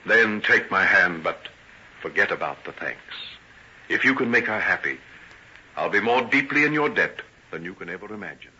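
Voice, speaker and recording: Deep, tormented gentleman doctor. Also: expressive, moderate pace, breathy edge, full character voice. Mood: solemn, sincere. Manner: earnest, formal, theatrical phrasing. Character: tormented, guilt-ridden gentleman doctor, tender and self-sacrificing. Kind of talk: old-time radio drama acting. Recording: dull, noisy old radio recording.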